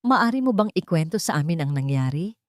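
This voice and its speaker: A woman voicing a calm, professional doctor in a drama story, gentle and measured. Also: fairly low pitch, dry recording, studio quality. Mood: gentle, probing.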